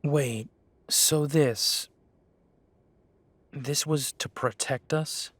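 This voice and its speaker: A young man plays an earnest young werewolf about to lead his pack, deferential to his elders. He speaks slowly and deliberately into a close mic with a dry, dead sound. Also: fairly high pitch, a bit bright, no echo, full character voice. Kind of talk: amateur audio drama acting.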